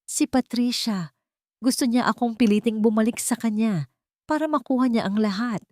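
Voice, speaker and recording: A woman's voice: a little high, normal speed, a little mellow, no room sound, pitched above the performer's own voice, lightly acted. Lady doing a determined boss guy in a story, firm n earnest, dry recording. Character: determined male company boss, earnest and defiant. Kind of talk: audio storybook drama.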